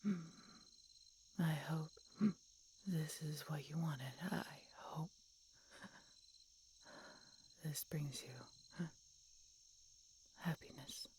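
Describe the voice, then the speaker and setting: Woman voicing a low, whispery dying dragon. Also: darkish tone, a little hiss or hum. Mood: sorrowful, apologetic. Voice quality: breathy, whispery. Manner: slow, halting, labored speech with pauses and gasps. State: weak, labored breathing. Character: dying injured female dragon, tender and regretful. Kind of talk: audio roleplay, intimate dramatic monologue.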